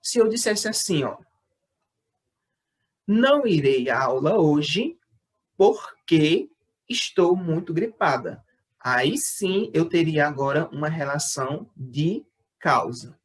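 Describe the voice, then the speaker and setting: A male school teacher giving a recorded lesson to sixth graders, explaining patiently, checking in often with the class and slowing down to read out his example sentences. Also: fairly high pitch, lively intonation.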